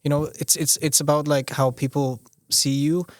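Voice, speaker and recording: A man's voice: on the high side, little pitch movement, normal speed, dry recording, studio quality. A young man from Austria, a podcast guest talking casually, often restarting his sentences and leaning on "you know". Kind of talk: casual conversational interview.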